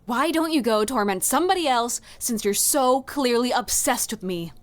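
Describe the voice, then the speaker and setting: Defiant young fantasy heroine, acted by a woman: snappish, scornful, higher-pitched, swinging widely in intonation. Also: animated, normal speed, lightly acted. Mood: annoyed. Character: prickly, defiant young female healer with a dagger. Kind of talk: dark fantasy audiobook character dialogue.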